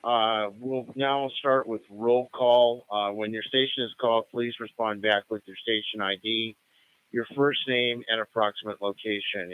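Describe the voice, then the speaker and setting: A man's voice: lowish voice, narrow, tinny audio. An American man acting as net control, running a radio roll call in a formal, procedural manner.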